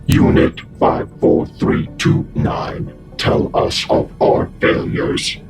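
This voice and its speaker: A man playing a cold, ruthless Cyberman controller in a sci-fi audio drama, giving halting, imperious commands through robotic voice processing. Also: music in the background. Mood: cold, commanding.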